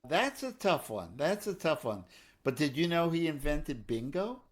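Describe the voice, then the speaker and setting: A man's voice: a little high, big pitch swings, moderate pace. A middle-aged man from New York co-hosting a casual podcast, joking and playful as he talks about music. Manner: jokey, playful, breaks into humming. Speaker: podcast co-host, pianist and songwriter.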